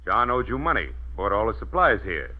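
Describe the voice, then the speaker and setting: A loud, smug windbag of a man from an old radio mystery. His delivery is boisterous and mocking, with very animated pitch. The sound is dull and vintage. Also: a little fast. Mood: smug, accusing. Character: loud, blustering, bullying back-slapping man. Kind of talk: 1940s radio mystery acting.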